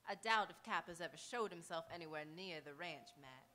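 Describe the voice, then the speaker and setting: Woman playing a bright-voiced, knowing frontier townswoman. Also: fairly low pitch, animated, brisk, a little hiss or hum. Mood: chatty, knowing. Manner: chatty, knowing, pointed. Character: shrewd, sociable frontier townswoman, the marshal's confidante. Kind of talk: live radio Western acting. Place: auditorium stage, live radio theatre performance.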